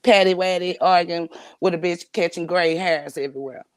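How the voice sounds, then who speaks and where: A woman's voice: on the low side, moderate pace, no room sound, clean studio sound. A woman in her thirties chatting casually on a livestream, with sassy, playful, slangy banter. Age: 30s. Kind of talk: casual gossipy chat.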